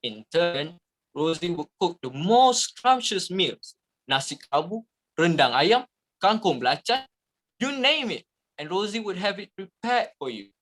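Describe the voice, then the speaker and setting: A man narrating a story as a scripted dramatic reading, with theatrical, deliberate emphasis. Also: sits high, sing-song, fairly bright tone.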